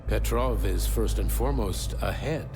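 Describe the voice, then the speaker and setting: An actor playing a calculating senior scientist in a video game, authoritative and deliberate, paternal yet menacing. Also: male voice, fairly low pitch, lightly acted. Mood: cool, calculating. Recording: very loud music underneath.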